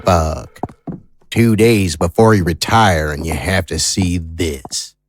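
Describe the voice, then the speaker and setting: An actor playing a middle-aged, world-weary police detective near retirement in an audio drama, muttering slowly. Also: male voice, sits low. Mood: weary, dismayed.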